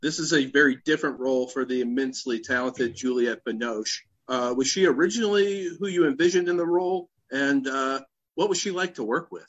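A man's voice: medium pitch, fairly quick. Man interviewer with a dull lo-fi sound. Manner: brief affirmations and polite prepared questions. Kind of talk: interview questions.

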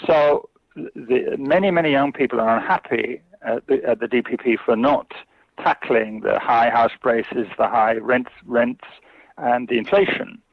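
A man's voice: mid-range voice, unhurried, vocal fry, no room sound. A man answering interview questions as a guest commentator, hesitant, with many fillers and restarts. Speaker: China specialist guest. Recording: tinny phone line.

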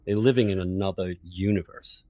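Low-voiced man hosting a candid discussion. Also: fairly even intonation, brisk, dark tone. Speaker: podcast host interviewing an author. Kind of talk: opinionated political conversation.

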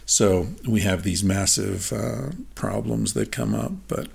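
A man hosting an interview, explaining his ideas in a thoughtful way with frequent pauses and ums. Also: fairly low pitch, steady tone, gravelly, a little mellow, husky. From United States. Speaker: podcast host. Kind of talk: casual interview conversation.

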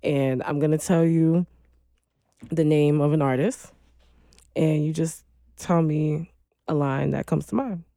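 A woman hosting a casual podcast interview, leading games and backing guests with steady mm-hmms. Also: low-pitched, unhurried, vocal fry, pauses often, no echo, clean studio sound. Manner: relaxed, frequent mm-hmm backchannels. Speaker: podcast host.

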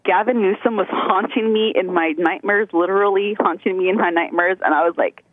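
A woman's voice: mid-range voice, fairly even intonation, fairly quick, dry recording. A woman from California calling in to a show, chatty and rambling, giggling as she talks over a phone line. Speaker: caller. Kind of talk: casual phone-in chat. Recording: tinny phone line, dry.

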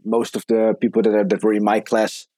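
A man's voice: fairly low pitch, little pitch movement, a little fast, dark tone, a little hiss or hum. A man in his thirties from the Netherlands with a Dutch accent, a native Dutch speaker, answering conversationally as an interview guest. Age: 30s. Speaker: podcast guest, entrepreneur.

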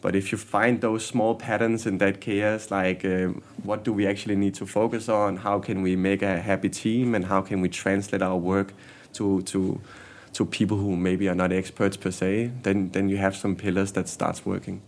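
A man's voice: low-pitched, flat delivery, normal speed. A man, a guest in a casual interview, speaking candidly with frequent restarts and repeated words. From Denmark. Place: workshop basement.

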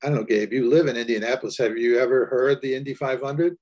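A man co-hosting a podcast, musing and joking in relaxed conversation. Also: mid-range voice, fast talker, dull audio. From United States. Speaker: podcast co-host. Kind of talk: casual podcast discussion.

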